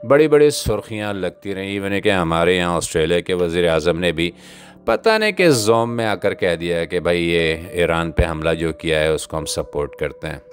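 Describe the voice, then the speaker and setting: Deep-voiced sardonic man, political commentary. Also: expressive, normal speed. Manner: sardonic, literary phrasing. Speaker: commentator, host. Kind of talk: scripted satirical political commentary.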